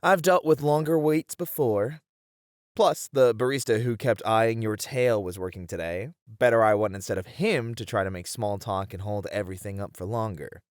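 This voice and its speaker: An actor playing an eager, playful male coworker in an ASMR roleplay audio drama, chatty and animated. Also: fairly low pitch, no room sound, clean studio sound, natural voice. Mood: friendly, teasing.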